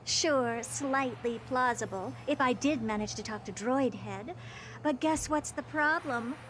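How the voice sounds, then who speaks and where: A high-pitched, haughty young female genius from a video game. She is blunt, dry and sure of herself, with clear noise behind the voice. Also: fairly bright tone. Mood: dry, skeptical. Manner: dry, self-assured, brisk sarcasm.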